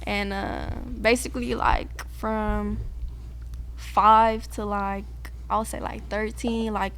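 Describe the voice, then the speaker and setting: A young woman from San Diego chats as an interview guest, her first language Spanish. Her voice carries a gravelly fry, and she keeps the talk relaxed and slangy, full of casual fillers. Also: medium pitch, takes it slowly, a little hiss or hum. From San Diego, raised partly in Guatemala. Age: young adult. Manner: laid-back slangy talk, many fillers like "you feel me". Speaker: guest artist.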